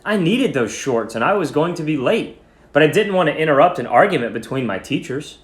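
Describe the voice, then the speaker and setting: Male story reader: highly varied intonation, expressive and deliberate, recorded in a roomy, echoing space. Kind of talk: dramatic reading of a short story. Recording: roomy echo.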